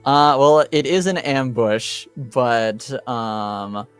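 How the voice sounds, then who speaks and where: Unhurried, smooth-voiced man running a game. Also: medium pitch, soft background music. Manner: halting, hesitant delivery. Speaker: game master. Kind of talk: improvised narration of a tabletop game.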